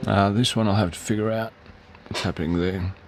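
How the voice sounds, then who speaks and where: A hoarse middle-aged man, rambling through a casual product demo in his hobby shop, with lots of fillers and self-corrections. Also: on the low side, steady tone, brisk, soft background music. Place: hobby shop, shelves behind.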